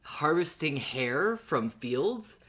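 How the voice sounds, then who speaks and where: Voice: medium pitch, sing-song, drawn-out words, a bit bright. A podcast co-host in casual fan discussion, talking in a joking, playful and sweary way.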